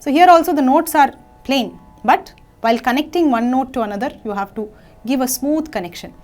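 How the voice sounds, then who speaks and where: A young woman, teaching a singing lesson, gently and patiently explaining phrases between short sung examples. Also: high-pitched, lively intonation, fast talker.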